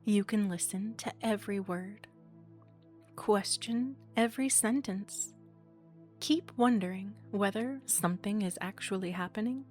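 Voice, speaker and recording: A woman speaking slowly and soothingly in a gently coaxing, playfully teasing ASMR guided relaxation. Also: medium pitch, faint music behind. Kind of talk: ASMR guided relaxation, hypnosis-style script.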